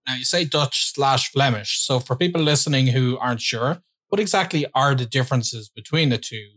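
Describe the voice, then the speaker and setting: A man co-hosting an interview, asking brisk and direct questions. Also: medium pitch, fairly bright tone. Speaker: podcast co-host.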